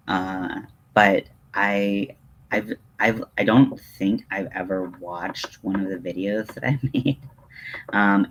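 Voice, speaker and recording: A man's voice: deep voice, fairly bright tone, slight background noise, poor audio. A man hosting a casual livestream, rambling in a hesitant, digressive, self-deprecating way. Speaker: livestream host.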